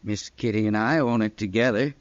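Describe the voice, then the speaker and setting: An actor playing an agreeable, easily tempted saloon owner in a 1950s radio western. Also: male voice, on the low side, brisk, no echo, natural voice. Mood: agreeable, surprised. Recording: dull, dry old radio recording.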